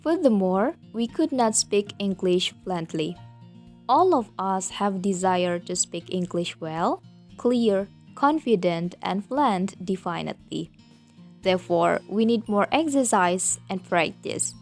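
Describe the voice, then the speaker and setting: A woman's voice: medium pitch, animated, slow, soft background music. A young woman with an Indonesian accent, a student presenting in careful, rehearsed learner's English. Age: young adult. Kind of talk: prepared presentation.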